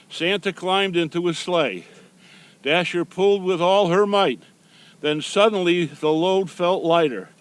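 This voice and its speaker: A man reads a children's storybook aloud in the role of Santa Claus. His voice sits high for a man, and he delivers the tale as a read-aloud for small listeners. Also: smooth.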